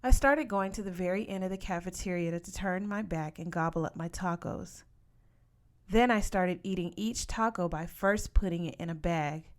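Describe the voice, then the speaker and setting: A woman narrating a first-person story as an audiobook reader, with expressive delivery. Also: lowish voice, moderate pace.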